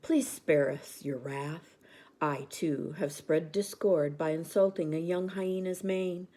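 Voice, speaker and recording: A woman's voice: deep voice, takes it slowly, warm tone. A woman voicing a humbled male lion in a storybook, formal and pleading. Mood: contrite. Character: contrite male lion begging for mercy.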